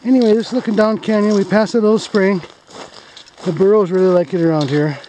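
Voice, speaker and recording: A man with a fairly high, mellow voice talks casually as he hikes through desert canyons and ducks into narrow mine tunnels. He is relaxed and chatty, pointing things out as he goes, with a faint hiss behind him. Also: brisk. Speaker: mine explorer vlogger. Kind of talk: casual narration while hiking.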